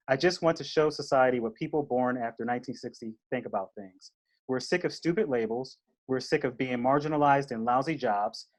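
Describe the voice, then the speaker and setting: A man's voice: mid-range voice, low-quality recording. A man in his late thirties hosting a panel discussion in a conversational way. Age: late 30s. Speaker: host of a generational discussion show.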